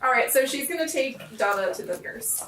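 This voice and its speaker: Nerdy playful girl gamer chatting at the table, highish voice, kinda roomy and distant. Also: a little fast, slight background noise. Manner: playful, excitable nerdy asides. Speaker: player on a tabletop RPG podcast. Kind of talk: casual table chat. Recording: roomy, slightly off-mic.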